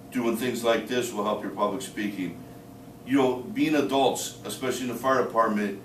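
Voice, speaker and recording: A man in his thirties giving a student speech, talking plainly with many "uh" fillers and rushing in spurts. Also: medium pitch, slight background noise. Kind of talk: informal classroom speech. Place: small room with a few listeners. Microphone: camera across the room. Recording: echoey room from far off.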